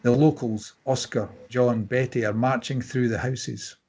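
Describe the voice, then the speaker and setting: A man's voice: medium pitch, fairly even intonation, unhurried, a little mellow. A man reading stage directions as scripted narration at a table read.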